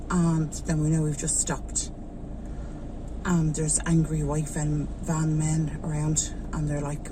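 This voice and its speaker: A woman's voice: lowish voice, mellow, a little hiss or hum, low-quality recording. An Irish woman in her forties, chatting to her vlog camera from her car, a bit stuffed up from a sore throat. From Ireland. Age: 40s. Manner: chatty, rambling, loses her thread. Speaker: vlogger. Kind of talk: casual vlog chat while driving. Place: inside a car in traffic.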